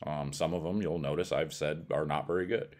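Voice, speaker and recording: A man's voice: very deep voice, steady tone, brisk, darkish tone. A middle-aged man with an American accent gives a casual product review in a blunt, colloquial way. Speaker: bowling ball reviewer.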